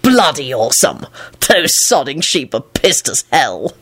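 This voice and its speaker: A boisterous, smug man, played by a woman reading an audiobook. He talks with cocky enthusiasm and a rough-mannered rebel streak, the pitch moving freely. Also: big pitch swings, measured pace, fairly bright tone, slight background noise, full character voice. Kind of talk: audiobook character dialogue.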